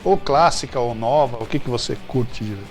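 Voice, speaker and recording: A man's voice: mid-range voice, fairly quick, soft background music. A man with a Brazilian accent chatting casually as the interviewer on a show. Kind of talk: casual chat.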